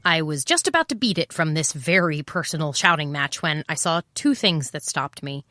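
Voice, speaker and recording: An actress playing a wry, impulsive private eye, talking in a sardonic, confiding way in an audio drama. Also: female voice, sits low, expressive, normal speed, pushed and bright, no echo, studio quality. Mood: wry, reflective. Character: wry, impulsive female private eye, over twenty-seven. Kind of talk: first-person noir audio drama narration and dialogue.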